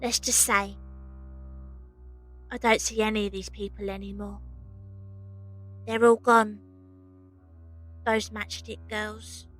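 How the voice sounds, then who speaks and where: A woman's voice: mid-range voice, flat delivery, slow, pauses often, fairly bright tone. A woman playing an earnest young Victorian match-factory worker, wistful and sceptical, in a dramatic audio narration. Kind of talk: dramatic first-person narration and dialogue. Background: music.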